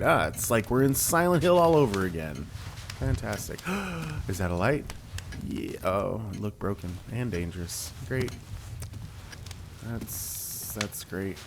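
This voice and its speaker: A man's voice: mid-range voice, a little hiss or hum. A young man hosting a horror let's play, reading game text aloud with wry asides. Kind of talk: casual gameplay commentary.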